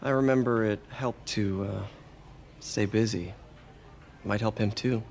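A man's voice: fairly low pitch, fairly even intonation, unhurried, a little mellow, slight background noise. An actor playing an earnest, slightly awkward young man, hesitant and sincere, in a naturalistic video game drama. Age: young adult. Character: earnest, awkward young lab assistant and nephew.

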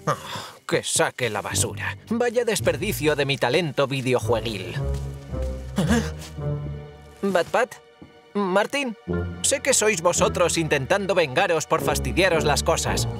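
A woman's voice: sits low, sing-song, unhurried. A woman voicing a stubborn, whiny boy gamer in a cartoon dub, exclamatory, with a Castilian Spanish accent. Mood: frustrated. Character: boy obsessed with video games, stubborn, dramatic. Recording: music under the dialogue.

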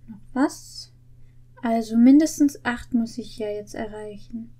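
A woman's voice: a little high, a little slow, mellow. A young woman talking casually and playfully as a video host, thinking aloud close to a desk microphone indoors. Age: young adult. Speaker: video host opening an advent calendar. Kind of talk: playful casual chat while solving puzzles. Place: indoor home studio with green screen. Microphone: desk microphone with pop filter, close.

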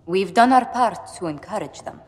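A woman's voice: mid-range voice, animated, normal speed, lightly acted. Woman playing a poised ambassador in echoing hall. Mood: assured. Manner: polished, businesslike. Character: poised, diplomatic female ambassador. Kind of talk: dramatic video game dialogue.

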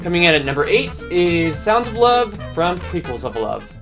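A man's voice: sits high, sing-song, normal speed, over music. A man in his twenties hosting a scripted countdown to camera in a small room at home. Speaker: host of a fan video countdown.

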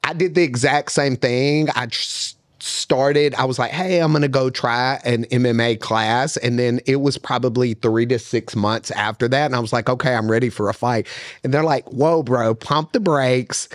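A man in his thirties co-hosting a casual podcast interview, asking rambling questions full of fillers into a studio boom mic. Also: moderate pace, dry recording. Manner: laid-back, rambling questions with many fillers. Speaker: podcast co-host. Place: studio set with couch. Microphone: boom mic with headphones.

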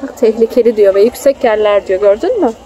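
A woman's voice: medium pitch, fast talker, warm tone, poor audio. A woman, a mother chatting casually with a child and pointing things out on an outdoor family vlog. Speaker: mother filming a family outing. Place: outdoors in a theme park. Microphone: handheld phone. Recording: outdoor ambient noise on phone.

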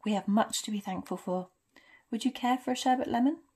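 A woman voicing a gentle, whimsical male wizard professor from a storybook, mild, courteous and unhurried. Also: on the high side, slightly rough. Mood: gentle, amused. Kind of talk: storybook character reading.

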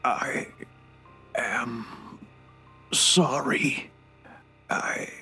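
A man playing a bitter, regretful, dying old grandfather in an audio drama, with a husky, breathy voice and halting delivery. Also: medium pitch, pushed and bright, exaggerated voice. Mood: failing, pleading. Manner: slow, halting. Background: music.

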